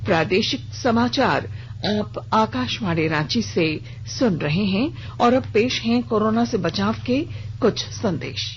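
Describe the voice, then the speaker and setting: A woman's voice: medium pitch, lively intonation, slow. A woman reading a scripted regional radio news bulletin as the newsreader. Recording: dull lo-fi radio broadcast with hiss.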